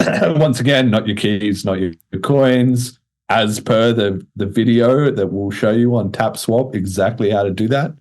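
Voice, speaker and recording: A man's voice: on the low side, unhurried, a little mellow. A man hosting a podcast in a casual, chatty style with informal, slangy talk. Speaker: podcast host.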